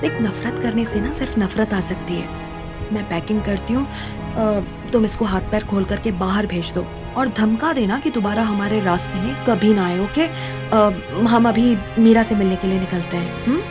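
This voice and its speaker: A woman's voice: medium pitch, moderate pace, over music, close to an everyday voice. An actress playing an anxious, coaxing young woman in an audio drama, a pleading peacemaker who mixes in English phrases. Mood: soothing.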